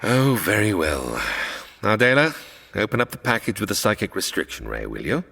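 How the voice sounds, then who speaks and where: A man's voice: lowish voice, big pitch swings, moderate pace, creaky, pushed and bright, slightly rough, long reverb tail, heavily acted. An actor playing a scheming male villain who gives orders smugly in a comedy audio drama. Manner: commanding, smug.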